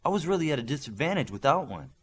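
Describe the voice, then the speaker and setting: A man's voice: a little high, very animated, racing, a bit bright, husky, voice pushed up, heavily acted. A man voicing a sweet, competitive young superheroine with a French accent in an audiobook. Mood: curious.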